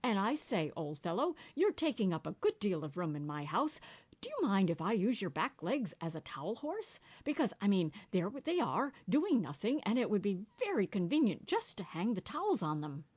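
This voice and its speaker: A woman voicing a fussy, brisk male rabbit with a prim manner. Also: medium pitch, very animated, a little mellow, husky, no echo, voice pushed up. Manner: prim and fussy, quick in bursts.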